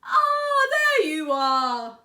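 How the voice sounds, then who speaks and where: A woman's voice: big pitch swings, extremely slow, heavily acted. Woman voicing a kindly old grandad, roomy sound. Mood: welcoming. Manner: booming calls, gentle reassurance. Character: kindly old grandad, hearty ship's steerer, booming. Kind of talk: children's storybook reading.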